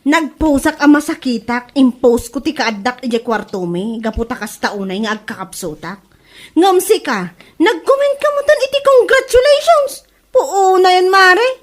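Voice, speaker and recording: A woman acting an aggrieved, insulted friend in a comedy drama. Her voice is very high and swings widely as she scolds and complains with open indignation. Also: big pitch swings, moderate pace. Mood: hurt, accusing.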